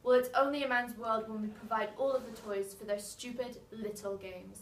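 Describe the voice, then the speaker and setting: A woman's voice: on the high side, fairly even intonation, moderate pace, close to an everyday voice. Woman as wartime factory wife, echoey room. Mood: bitter, angry. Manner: declamatory, pointed. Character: soldier's young wife, bitter munitions factory worker. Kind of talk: dramatic slam poetry recital. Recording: noisy, echoey room, mic far off.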